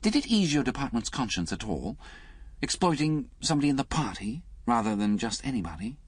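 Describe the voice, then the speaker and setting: An anguished, idealistic young woman, as a male reader puts her on with a husky tone. Her pitch sits far above the reader's own, and the voice stays close and dry. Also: very animated, fairly quick, no echo, exaggerated voice. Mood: bitter. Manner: anguished, pleading. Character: distressed young woman, idealistic party member.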